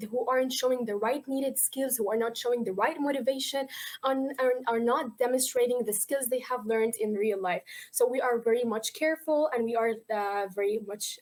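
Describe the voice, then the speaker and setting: A teenage girl with a fairly high voice presents at a conference as a young activist. She explains things earnestly and carefully, with some emphasis. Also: brisk. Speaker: youth climate activist and volunteer.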